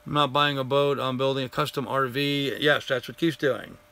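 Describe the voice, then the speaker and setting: An older man hosting a casual live stream, chatting and answering viewer comments, getting hoarse after a long session. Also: mid-range voice, fairly even intonation, normal speed, bright, forceful tone. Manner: rambling, friendly, greets viewers by name.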